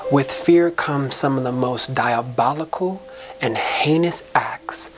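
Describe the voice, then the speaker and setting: Low-voiced woman, slow dramatic spoken word over music. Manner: impassioned, dramatic, preacher-like delivery with repetition; colloquial, folksy turns of phrase. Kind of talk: inspirational spoken-word soliloquy.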